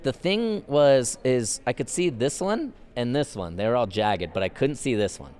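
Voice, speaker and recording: A man's voice: medium pitch, lively intonation, moderate pace, darkish tone, clear, resonant tone. A man telling stories in casual conversation as a podcast guest, with laid-back, slangy phrasing. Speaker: podcast guest, professional skateboarder. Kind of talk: casual chat, storytelling.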